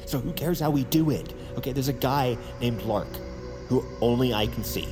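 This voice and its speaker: An actor playing a frantic, wisecracking leader of an escape, rambling and stammering in a naturalistic audio drama. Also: male voice, medium pitch, moderate pace, lightly acted. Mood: pleading. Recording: music underneath.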